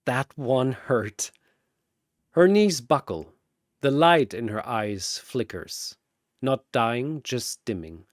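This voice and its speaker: Dramatic male narrator with sweeping, animated delivery. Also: medium pitch, no echo, studio quality. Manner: theatrical, pausing for emphasis. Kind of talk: dramatic scripted battle narration.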